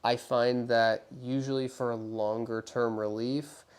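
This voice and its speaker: Medium-pitched man explaining like a physical therapist. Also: little pitch movement, slow pace, clean tone. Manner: hedging, explanatory, reassuring. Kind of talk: casual clinical consultation.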